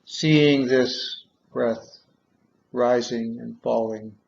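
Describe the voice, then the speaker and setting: A man guiding a meditation in measured short phrases with long pauses, on a dull, muffled recording. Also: medium pitch, fairly even intonation, vocal fry. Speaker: guided meditation leader. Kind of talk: guided meditation, slow soothing instruction.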